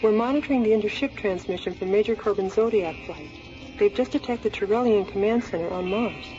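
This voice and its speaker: An actress playing a businesslike communications officer on a space station in a radio drama. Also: female voice, mid-range voice, a little fast, lightly acted. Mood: urgent, formal. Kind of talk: science fiction radio drama acting. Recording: muffled old radio drama with music.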